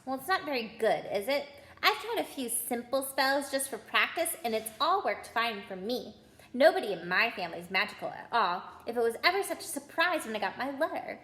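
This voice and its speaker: A woman reading aloud, voicing a bossy, fast-talking schoolgirl witch. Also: high-pitched, sing-song, a bit bright, reverberant, voice pushed up. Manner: rapid-fire, bossy.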